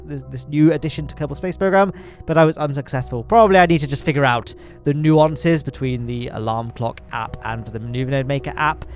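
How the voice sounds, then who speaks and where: An English man with a nasal, congested voice, rambling through casual gameplay commentary with lots of fillers and self-deprecating asides. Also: mid-range voice. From England. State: congested from hay fever. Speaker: gaming channel host.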